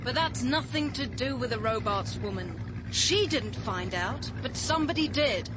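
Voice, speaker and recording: High-voiced, cool female conspirator played by an actress. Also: animated, moderate pace, natural voice. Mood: cool, defensive. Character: cool, callous female conspirator, dismissive and practical. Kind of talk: radio drama acting. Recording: noisy boat engine and water.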